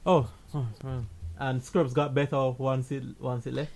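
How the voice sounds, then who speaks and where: A man's voice: medium pitch, flat delivery, unhurried, a little mellow. Laughing, blunt-talking man on a podcast. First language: not Danish; speaks English. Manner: laughing, teasing, blunt opinions. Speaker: podcast co-host. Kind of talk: casual chat about movies.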